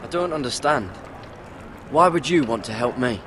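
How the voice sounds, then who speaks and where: A man's voice: mid-range voice, lively intonation, normal speed, a bit bright, natural voice. An actor playing a wary, loyal young sworn watchman in a video game, direct and questioning. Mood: suspicious. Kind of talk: dramatic video game voice acting. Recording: clearly noisy outdoor ambience.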